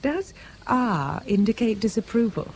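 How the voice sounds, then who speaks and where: Playful lady, dry teasing tone, lively up and down voice, radio play, bit of background noise. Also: a little high, unhurried. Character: relaxed, teasing Englishwoman on holiday. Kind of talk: British radio drama acting.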